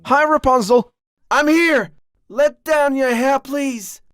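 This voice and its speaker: An actor voicing a gallant, devoted young fairy-tale prince in a cartoon, earnest and romantic. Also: male voice, very high-pitched, takes it slowly, a bit bright, full character voice. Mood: excited, calling out. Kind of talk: cartoon character acting.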